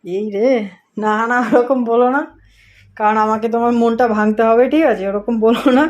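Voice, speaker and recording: A man's voice: extremely high pitch, steady tone, moderate pace, no room sound. A man with an Indian Bengali accent chatting casually on a prank call, teasing and often repeating himself. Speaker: prank caller, video host. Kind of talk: casual phone chat. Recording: muffled, faintly noisy call recording.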